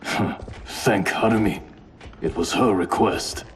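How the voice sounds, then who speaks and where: An actor playing a stern, sardonic, wronged male warrior in a video game, with a gravelly voice. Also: fairly low pitch, takes it slowly, a little hiss or hum. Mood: bitter. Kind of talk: video game cutscene acting.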